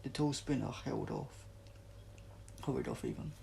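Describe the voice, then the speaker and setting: A man with a very creaky, gravelly voice narrates a children's adventure story. He reads at a middle pitch and sometimes trips over words and corrects himself. There is faint noise behind him. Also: wildly swooping pitch, a little slow, mellow. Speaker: audiobook reader. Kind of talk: storybook narration read aloud.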